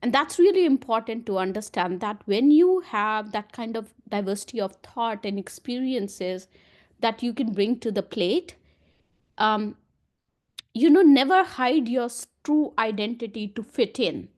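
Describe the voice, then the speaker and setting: A woman's voice: a little high, animated, a little slow, a little mellow. A woman from India, telling her story with confidence on an online panel over a video call.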